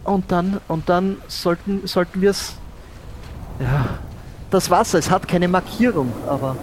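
An adventurous male diver played by a man in an improvised audio adventure, with a slightly high voice. He talks slowly and hesitantly, murmuring and repeating phrases to himself, over clearly audible background noise. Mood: musing. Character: adventurous male treasure diver and cork shop owner.

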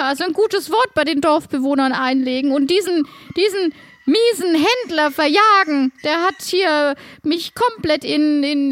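A very high-pitched woman shopkeeper from a farm, acted out by a woman. She is polite and wordy, feels her way hesitantly through sentences and carries a sense of grievance, as in an improvised audio play. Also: no room sound. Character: friendly, aggrieved woman farm-shop keeper.

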